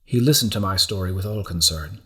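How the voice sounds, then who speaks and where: A man with a low voice reads literary fiction as an audiobook narrator. His phrasing is measured and deliberate, in a scripted storytelling style. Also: a bit bright.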